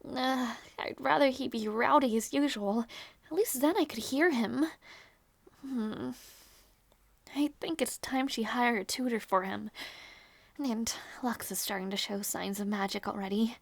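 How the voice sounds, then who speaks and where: Woman acting a high-voiced, unhurried doting princess aunt. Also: gravelly, no room sound. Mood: musing. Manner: sweet, coaxing, sighing. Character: gentle doting princess aunt minding her little nephew. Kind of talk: audio roleplay acting.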